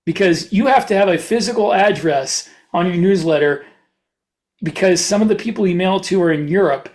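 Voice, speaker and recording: A man's voice: sits high, moderate pace, a little mellow. A man co-hosting a podcast, chatting casually in a blunt, opinionated way. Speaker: podcast co-host and writer.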